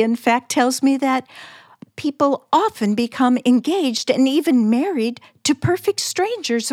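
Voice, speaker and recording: A woman playing a shy, naive, romantic forty-year-old spinster in a radio play, excitable and easily flustered. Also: fairly high pitch, no room sound, clean studio sound. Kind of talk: radio play acting, diary narration and dialogue.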